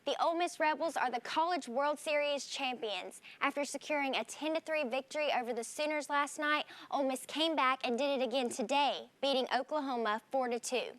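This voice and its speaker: High-voiced woman reading sports news. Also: fairly quick, hardly any pauses. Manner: crisp, steady newscaster delivery. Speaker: sports anchor.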